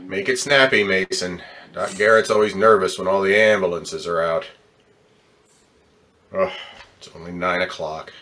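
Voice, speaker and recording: A man's voice: deep voice, normal speed, pauses often, sharp tone, a little hiss or hum. An actor playing a brusque, impatient, slangy ambulance man in an old-time radio drama. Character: gruff, impatient, no-nonsense male ambulance man.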